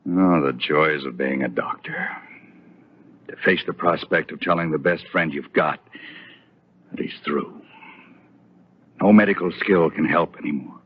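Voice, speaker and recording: A man's voice: a bass voice, measured pace, pauses often, a little hiss or hum. An American man playing an anguished, stubborn doctor in an old-time radio soap opera, heavy and emphatic. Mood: anguished, weary. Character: anguished, stubborn male doctor, stern and dutiful. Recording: dull, lo-fi vintage radio recording.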